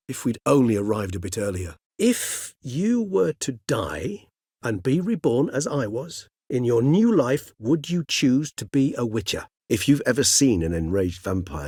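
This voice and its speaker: An old male vampire from a video game, courteous and scholarly. His voice sits low with a husky grain. He phrases things formally and with measured care, gentle in temper and fond of elaborate wording. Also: dry recording, clean studio sound. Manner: formal, articulate, measured and wordy. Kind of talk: video game dialogue acting.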